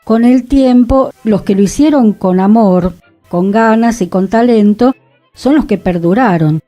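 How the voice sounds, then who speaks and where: A woman, the director and co-host of a radio show, talking in a thoughtful, unhurried way. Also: fairly high pitch, dark tone, faint music behind. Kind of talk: relaxed radio conversation.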